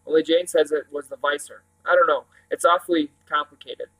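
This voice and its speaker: A man reads as a storybook older brother, still a boy. He is weary, abrupt and skeptical, brushing things off in a fairly high male voice. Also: fairly even intonation, fairly quick, pushed and bright, faint music behind, rough recording.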